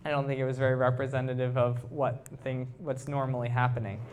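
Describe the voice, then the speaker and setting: A man's voice: mid-range voice, level tone, fairly quick, darkish tone, smooth. A man giving a technical talk to a live audience, explaining things informally and putting questions to his listeners. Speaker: presenter, researcher.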